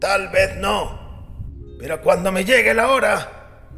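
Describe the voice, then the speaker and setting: Man playing a creaky, defiant old priest. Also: high voice, bright, forceful tone, heavily acted. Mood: quiet conviction. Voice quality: creaky, gravelly. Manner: solemn, deliberate, resolute. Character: frail old male priest, devout and defiant. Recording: long echoing hall reverb, music underneath.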